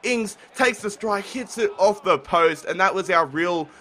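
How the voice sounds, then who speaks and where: A young man commentating his football video game play while sick with the flu, talking casually and getting excited at chances. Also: sits high, a little slow, slight background noise. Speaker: gaming commentator.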